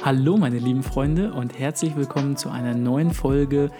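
A man hosting a podcast, a little hoarse from a cold, explaining his ideas at length with many pauses and fillers. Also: medium pitch, over music. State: under the weather, voice a bit hoarse. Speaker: podcast host. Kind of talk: casual podcast chat.

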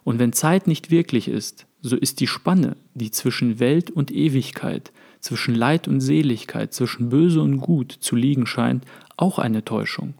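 A man reading aloud as a wise, calm sage explaining his thoughts to a friend, in a measured, earnest way. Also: mid-range voice, vocal fry. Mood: contemplative. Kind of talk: read-aloud literary dialogue.

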